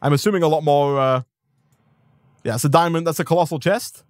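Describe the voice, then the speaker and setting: A rapid-fire male streamer with a fairly high voice, chatting along as he plays. His delivery is upbeat and rambling, with a slight hoarseness, and the recording is close and dry. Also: no room sound. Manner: rambling, upbeat, thanks viewers by name. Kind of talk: live gameplay stream commentary.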